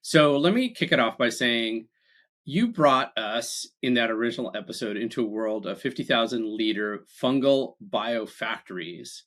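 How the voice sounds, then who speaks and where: A man's voice: mid-range voice, expressive, normal speed, a bit bright. A man co-hosting a podcast, introducing the guest and asking questions in an upbeat way. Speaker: podcast co-host.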